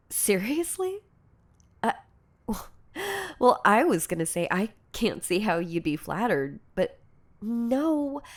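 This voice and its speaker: A woman playing a shy, insecure, lovestruck girlfriend in an intimate ASMR audio roleplay, speaking in a hesitant, stammering, soft-spoken way. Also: lowish voice, gravelly, full character voice. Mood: flustered, amazed. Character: shy, insecure, lovestruck yandere girlfriend confessing obsessive devotion.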